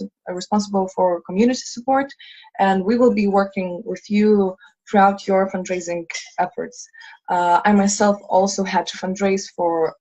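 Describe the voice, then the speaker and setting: A woman's voice: medium pitch, a little mellow. A woman in her twenties from Lithuania, head of a fundraising team, talking earnestly on a video call with many fillers and restarts. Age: 20s. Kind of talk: casual video call chat. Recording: dull lo-fi sound.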